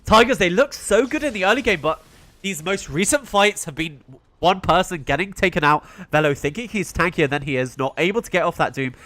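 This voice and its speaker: A man's voice: high voice, animated, fairly quick, bright, forceful tone. British man casting a live esports match. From Britain. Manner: chatty, answers his stream chat between plays. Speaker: esports caster. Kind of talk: solo play-by-play commentary on a live stream.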